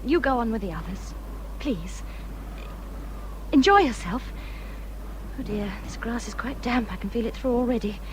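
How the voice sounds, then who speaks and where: A woman playing a peevish, self-pitying young married English gentlewoman in a period radio drama, with a plaintive, complaining way of talking. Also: fairly high pitch, animated, moderate pace, pauses often, a little hiss or hum, close to an everyday voice, low-quality recording.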